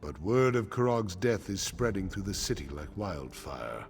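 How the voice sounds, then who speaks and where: A man playing a weary, solemn orc chief in a fantasy game, speaking gravely in a deep, gravelly voice. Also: sing-song, normal speed, darkish tone, soft background music, heavily acted. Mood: measured, resolute.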